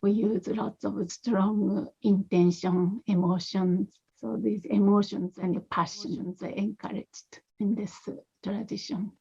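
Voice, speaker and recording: A woman leading a guided meditation, speaking softly and unhurriedly in short phrases with long pauses. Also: mid-range voice, little pitch movement, vocal fry, slightly rough, lo-fi sound. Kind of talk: guided meditation, gentle spoken instruction.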